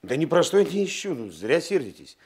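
An actor in a Soviet radio drama playing a guarded, plain-spoken working-class sailor, hesitant and evasive. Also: male voice, on the high side, big pitch swings, a little fast, darkish tone, husky, exaggerated voice. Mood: evasive, uneasy.

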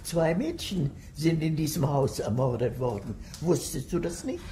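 A woman's voice: low voice, very animated, moderate pace, mellow, a little hiss or hum. An actress playing a sinister, domineering old aristocratic lady in a German radio thriller, savoring grim details. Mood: dark, brooding.

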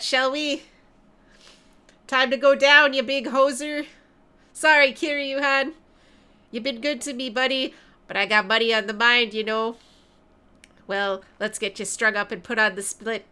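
A woman's voice: fairly high pitch, fairly even intonation, measured pace, bright, forceful tone, clean tone. A woman voicing a sheepish male yakuza thug with a put-on Canadian accent, apologizing and adding "eh" to his lines. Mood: taunting. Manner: apologetic, tacks "eh" onto lines.

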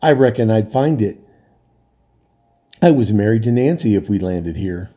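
Man reading as a homely sailor, plain speech, dark mellow voice, storybook. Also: fairly low pitch, animated, normal speed, breathy edge. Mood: wistful. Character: plain-spoken male ship's sailor, wistful.

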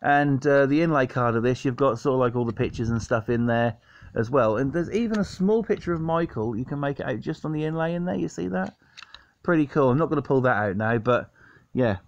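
A man's voice: medium pitch, expressive, fairly quick. A man giving a chatty, enthusiastic show-and-tell in a casual home vlog style. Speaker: video host showing a cassette collection. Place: indoors at home by a sofa. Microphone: handheld camera or phone mic. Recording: dull lo-fi camera audio.

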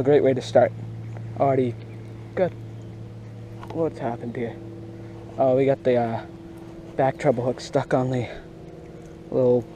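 Young dude vlogging his fishing, slangy n excitable, soft mellow tone, some outdoor noise on an action cam. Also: medium pitch. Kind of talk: casual outdoor fishing commentary. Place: outdoors beside a grassy pond. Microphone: body-worn action camera.

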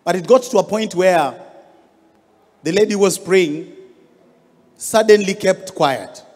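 Kenyan man, 30s, pastor preaching, slightly high-pitched, long reverb of a large hall. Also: unhurried, darkish tone. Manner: storytelling, rising emphasis on key words. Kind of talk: sermon with personal story. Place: large church hall stage. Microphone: handheld mic.

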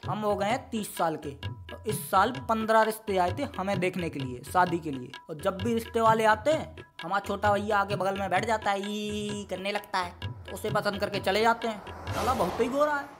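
A man's voice: sits high, normal speed, music in the background, natural voice. A man as a scheming, aggrieved cartoon bachelor. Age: 30s. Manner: aggrieved, plotting. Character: vengeful, scheming thirty-year-old bachelor brother. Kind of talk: comic cartoon dialogue.

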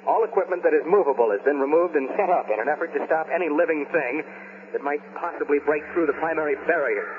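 An actor playing a shaken American newsman reporting live from an outbreak in a halting, horrified 1970s radio drama style. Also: fairly high pitch, slight background noise, close to an everyday voice. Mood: grim, unsettled. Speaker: field news reporter. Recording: tinny band-limited 1970s radio recording.

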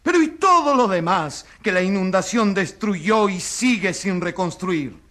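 Indignant male journalist, radio drama accuser, very high bright voice, declamatory, crowd behind. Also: animated, a little slow. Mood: earnest, accusing. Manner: impassioned, declamatory. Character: indignant crusading journalist accusing a corrupt political leader. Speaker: accuser in a trial. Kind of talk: radio drama courtroom oratory.

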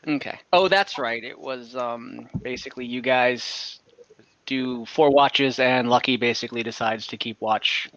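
A man working as game master, narrating a tabletop role-playing game in a casual, halting, conversational way over a video call. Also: medium pitch, a bit bright, no echo. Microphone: computer microphone on a video call. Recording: dull, compressed online call audio.

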